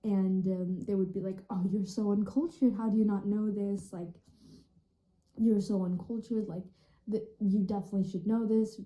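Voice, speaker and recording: A seventeen-year-old young woman from Denmark talks about herself with a very dark, mellow tone at an average pitch. Her delivery is reflective and casual, a student's personal account with youthful filler phrasing. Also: poor audio. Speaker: high school student.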